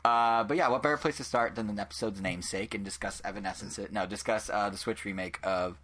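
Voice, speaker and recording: A young man with a nasal voice hosts a gaming podcast in a fast, rambling patter. His voice sits fairly low, and he jokes his way from one topic to the next. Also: fairly even intonation, fairly bright tone, slight background noise. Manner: quick, rambling, joking. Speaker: podcast host. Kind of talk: casual podcast chat.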